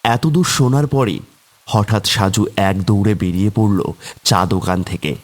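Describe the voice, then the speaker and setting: A man's voice: lowish voice, animated, moderate pace. A man narrating a scripted horror story in a dramatic, suspenseful way.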